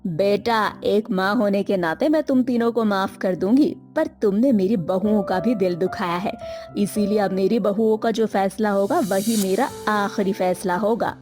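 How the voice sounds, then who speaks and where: A woman's voice: mid-range voice, normal speed, a little mellow, faint music behind, lightly acted. A woman voicing a long-suffering, firm but caring older mother-in-law in a dramatic, plaintive way for a Hindi moral story cartoon. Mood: stern, sorrowful.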